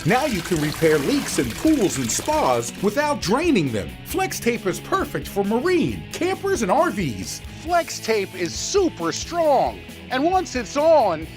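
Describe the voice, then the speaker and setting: A man pitches like a TV infomercial salesman, with punchy emphasis. His pitch jumps dramatically and the phrases are spaced out, with music and water sounds behind. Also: huge pitch swings, unhurried, few pauses. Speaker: product pitchman.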